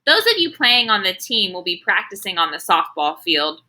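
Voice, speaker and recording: Woman reading as a measured, encouraging male schoolteacher. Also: mid-range voice, sing-song, pushed and bright. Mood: instructive. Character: calm, encouraging male first-grade teacher. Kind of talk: children's storybook read-aloud.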